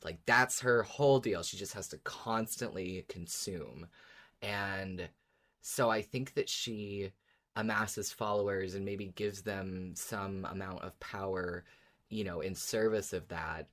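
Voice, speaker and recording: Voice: sits low, slow, fairly bright tone. A podcast co-host chatting casually and enthusiastically about fiction, often circling back over phrases.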